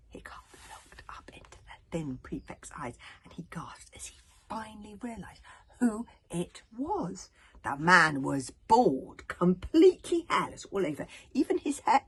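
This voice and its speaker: A woman's voice: lowish voice, slightly rough. A woman reading a children's story aloud with dramatic emphasis, occasionally stumbling over her words. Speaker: author reading own book aloud.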